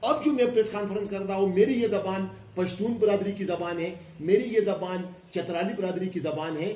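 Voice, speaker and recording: A man's voice: high voice, warm tone, slight background noise. A middle-aged man from Pakistan, a former councillor, giving an emphatic press conference statement into a cluster of microphones. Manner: emphatic, gesturing with raised hands, speaking in listed repetitions. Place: indoor room before a plain wall. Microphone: cluster of press microphones on a table. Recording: echoey room.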